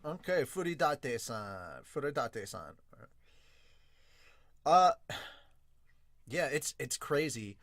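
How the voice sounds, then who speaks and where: A man with a mid-pitched voice reads manga aloud as a podcast host. He drops in casual, excitable reactions as he goes. The sound is dry, with hardly any room in it. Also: slow pace, pauses often, no echo.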